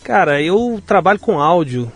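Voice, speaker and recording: Man, forties, Minas Gerais accent, interview guest, slightly higher voice, faint music behind. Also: normal speed. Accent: Minas Gerais Brazilian Portuguese. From Minas Gerais, Brazil. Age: 40s. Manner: relaxed, hesitant restarts, frequent "né". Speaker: guest, audio producer. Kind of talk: conversational interview.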